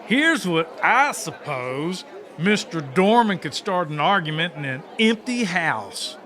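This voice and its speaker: A man's voice: high voice, very animated, slow, sharp tone, some chatter behind, full character voice. A man playing a cocky, wisecracking knife-throwing fighter in an audiobook drama, taunting theatrically with a drawl. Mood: fed up, boastful.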